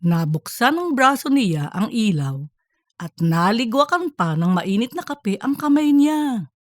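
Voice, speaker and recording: A woman's voice: on the high side, very animated, unhurried, dry recording. A woman narrating a scripted comic audiobook story.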